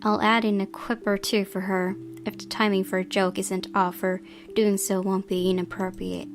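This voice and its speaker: Creaky-voiced woman narrating a visual novel. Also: mid-range voice, little pitch movement, moderate pace, over music. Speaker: game player reading aloud.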